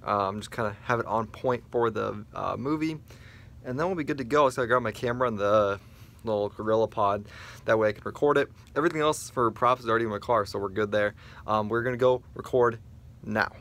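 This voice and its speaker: A man's voice: fairly low pitch, brisk, fairly bright tone. A young man talking casually to a handheld camera at arm's length, rambling with many fillers and restarts. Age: young adult. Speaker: vlogger. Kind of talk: casual vlog chat. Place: small dim room indoors.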